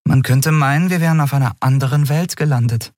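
A man's voice: mid-range voice, a little fast, a little mellow, dry recording, studio quality, voice pushed up, lightly acted. A man voicing a pale young crew member who talks in an eager, chatty way.

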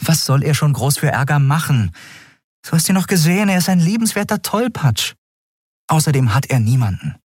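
Man as female airship captain, raised pitch, lively, brisk, kindly, dry audiobook sound. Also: slightly rough, no echo. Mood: earnest. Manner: brisk, informal, caring.

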